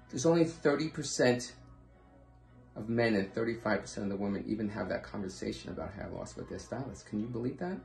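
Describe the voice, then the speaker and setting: An echoey, roomy recording of a man presenting a training pitch. His voice sits at a medium pitch. He is earnest and emphatic, circling back over points and posing questions to drive them home. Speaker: presenter training hair-loss clinic professionals. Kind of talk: informal instructional sales presentation.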